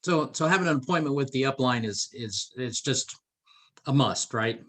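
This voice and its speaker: A middle-aged man speaks as the host of a business training interview. His voice sits at an average pitch. He comes across as enthusiastic and encouraging while talking conversationally to his guest. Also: expressive, normal speed, a bit bright.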